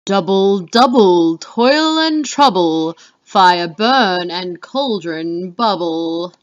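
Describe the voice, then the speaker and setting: Woman as a slow, sinister chanting witch. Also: lowish voice, expressive, muffled recording. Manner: rhythmic chanting. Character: sinister witch reciting a cauldron spell. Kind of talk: dramatic Shakespeare reading.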